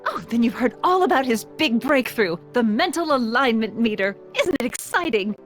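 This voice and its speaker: Prim, high-voiced zealous young woman played by an actress. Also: lively intonation, normal speed, close to an everyday voice. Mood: gushing. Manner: precise, haughty, emphatic. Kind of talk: animated video game voice acting. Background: music.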